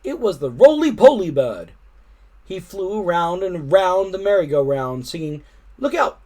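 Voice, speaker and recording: A man reading a children's story aloud expressively, with animated, dramatic emphasis. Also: high voice, measured pace. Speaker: storytime reader.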